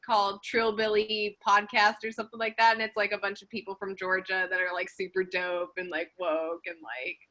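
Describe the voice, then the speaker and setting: Bright, chatty woman on a panel. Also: medium pitch, little pitch movement, moderate pace, few pauses. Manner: chatty, many filler "like"s, laughs often. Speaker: actress on a panel. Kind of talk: casual panel discussion.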